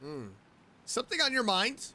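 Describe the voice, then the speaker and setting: A woman's voice: on the high side, sing-song, moderate pace, pushed and bright, a little hiss or hum. A woman streaming a video game, commenting casually and reading along as she plays.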